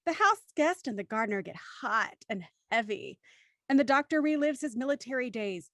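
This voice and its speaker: A woman with a very high voice hosts a livestream in a dry, close sound. Her manner is bubbly and enthusiastic as she chats with and introduces a cast. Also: lively intonation, normal speed, fairly bright tone, no echo. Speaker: host introducing an online show's cast. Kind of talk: livestream hosting, chatty banter.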